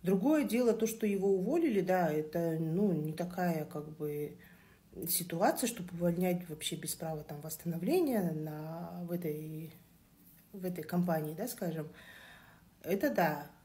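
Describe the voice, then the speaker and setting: A woman's voice: on the low side, expressive, normal speed, warm tone. A woman whose native language is Kazakh, giving her opinion in a casual monologue, earnest with hesitant fillers.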